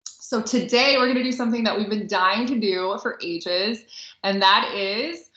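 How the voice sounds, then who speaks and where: A woman's voice: mid-range voice, normal speed, a bit bright. A woman co-hosting a podcast as a romance author, talking in a casual, chatty style. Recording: roomy, echoey, slightly muffled podcast audio.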